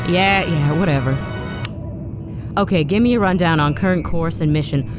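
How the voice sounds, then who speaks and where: A woman acting a brash, low-voiced space commander. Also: moderate pace, lightly acted. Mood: exasperated, bossy. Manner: blunt, wry, informal, profane. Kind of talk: full-cast sci-fi comedy audio drama. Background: music under the voice.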